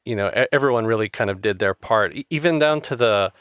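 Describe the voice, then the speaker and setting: Man, podcast host, interview chat, halting, restarts, lowish pitch. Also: fairly bright tone, smooth, no room sound, studio quality. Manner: halting, many restarts and fillers.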